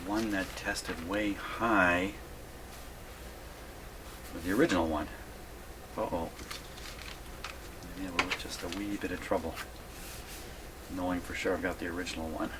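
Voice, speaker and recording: An older man speaks in a low register over noticeable background noise. He talks casually, as if demonstrating at a workbench, thinking aloud as he goes. His delivery is folksy and rambling, with a self-deprecating streak. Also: fairly even intonation, a little slow, pauses often. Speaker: vintage radio repair hobbyist presenting a video. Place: indoor radio repair workshop.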